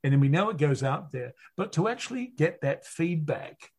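Man, 60s, husky, slightly higher voice, rambling anecdotes, voice actor. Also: moderate pace. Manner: rambling, restarts often, animated storytelling. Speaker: audiobook narrator and voice actor. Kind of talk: anecdotal interview chat.

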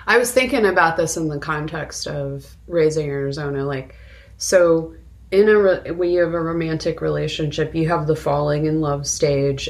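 A woman co-hosting a podcast, talking casually and reflectively about a film in an informal way. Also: low-pitched. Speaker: podcast co-host. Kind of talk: casual conversational film discussion.

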